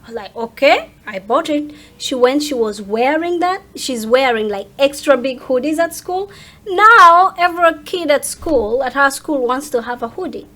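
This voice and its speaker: A woman's voice: high voice, lively intonation, normal speed, sharp tone. A woman in her thirties talking casually as an interview guest, emphatic and repeating phrases to drive them home. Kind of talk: casual chat.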